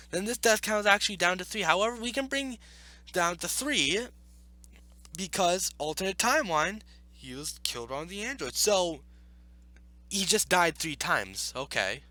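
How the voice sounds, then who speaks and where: High-voiced, animated man giving opinionated commentary. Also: normal speed, dry recording. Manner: argumentative, emphatic, repeats points. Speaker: video host reacting to an article. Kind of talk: opinionated casual commentary with read-aloud passages.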